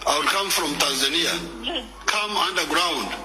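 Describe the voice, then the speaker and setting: A man speaking slowly in English, recounting his past with bits of laughter. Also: fairly high pitch, noisy background. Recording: echoey with music behind.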